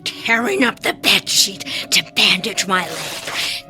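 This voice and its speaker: A woman's voice: mid-range voice, slow pace, over music, lightly acted. A woman playing a frightened, wounded wife in a suspense audio drama, fierce and distraught as she faces small intruders. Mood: grimly vengeful.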